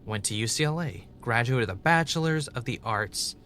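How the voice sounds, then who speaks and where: An actor playing a haunted, paranoid, defiant man in an audio drama, speaking intensely in short, halting phrases. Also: medium pitch, expressive, sharp tone, slight background noise. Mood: accusing.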